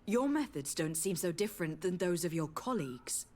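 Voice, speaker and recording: A woman's voice: on the low side, lively intonation, normal speed, a little mellow. An actress playing a principled noblewoman envoy in a fantasy video game, questioning and reproaching with formal composure. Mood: stern, resolute.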